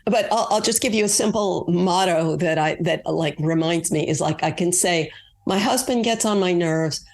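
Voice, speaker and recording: A woman's voice: on the low side, moderate pace. A woman from Long Island, a guest author who explains things step by step in casual interview talk, with hesitant fillers. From Long Island, New York.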